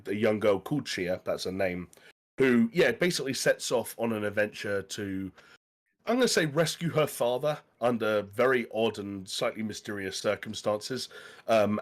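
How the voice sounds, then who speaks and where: A man co-hosting a gaming podcast, talking casually and rambling with plenty of fillers. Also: on the low side. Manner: rambling, chatty, lots of fillers. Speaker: podcast co-host. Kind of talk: casual chat.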